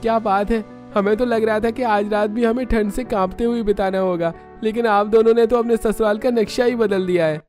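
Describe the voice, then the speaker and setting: A poor, earnest young husband in a cartoon tale, acted by a man. The voice is pushed high and carries over light background music. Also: steady tone, brisk, hardly any pauses, darkish tone, natural voice. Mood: amazed. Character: distressed young working husband and son in poor family. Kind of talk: cartoon story dialogue.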